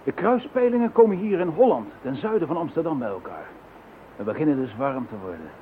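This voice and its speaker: A man's voice: on the high side, sing-song, fairly quick, narrow, tinny audio, slight background noise. An actor playing a wry male chief inspector in a radio drama, with a dry teasing manner. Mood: calm.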